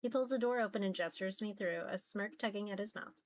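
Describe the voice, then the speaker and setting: A woman reading a visual novel aloud in an expressive first-person narration, occasionally stumbling over words. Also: mid-range voice, fast talker, no room sound. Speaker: VTuber reading a game aloud. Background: music.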